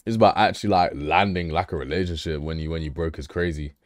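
Deep-voiced mocking streamer. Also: male voice, fast talker. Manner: loud, mocking, profane banter. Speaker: streamer reacting to a video. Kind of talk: casual live-stream commentary.

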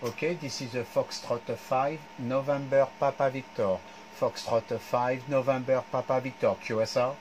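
A man's voice: mid-range voice, fairly even intonation, moderate pace, a little hiss or hum, rough recording. A middle-aged man with a French accent making amateur radio contacts, spelling out call signs phonetically. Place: small home radio room. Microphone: headset.

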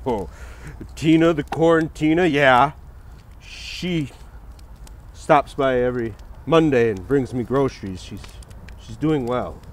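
A man's voice: medium pitch, slow pace, long pauses. A man in his thirties co-hosting a comedy sports show, delivering lines with mock-dramatic earnestness outdoors in the snow. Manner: theatrical, gravely earnest delivery played for laughs. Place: outdoors in snow beside a stadium.

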